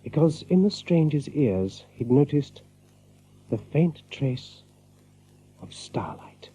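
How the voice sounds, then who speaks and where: A man narrating a scripted audio drama as a storyteller, with measured, dramatic delivery. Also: medium pitch, very animated, mellow. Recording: muffled recording with music behind.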